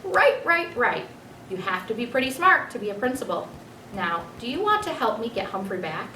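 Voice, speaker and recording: A woman voicing an earnest father and school principal in a storybook, firm but kind, with expressive, emphatic delivery. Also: on the high side, normal speed, pitched above the performer's own voice. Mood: coaxing, encouraging. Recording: roomy, distant mic, faint noise.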